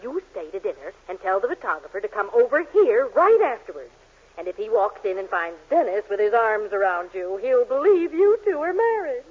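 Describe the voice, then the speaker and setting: A woman's voice: medium pitch, big pitch swings, a little fast, slightly rough. An actress playing a bossy, inquisitive, conniving married woman in a 1940s radio sitcom. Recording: thin, phone-like 1940s radio recording.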